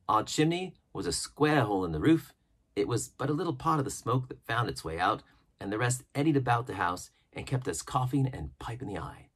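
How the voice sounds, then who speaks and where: A man with a husky voice narrating a classic adventure novel as an expressive storybook reading. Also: mid-range voice. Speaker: audiobook narrator.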